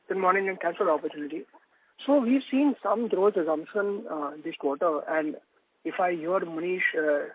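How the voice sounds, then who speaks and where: A man asking questions as an analyst on an earnings call, polite and discursive, rephrasing as he goes. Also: high-pitched. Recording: muffled narrow phone-line audio.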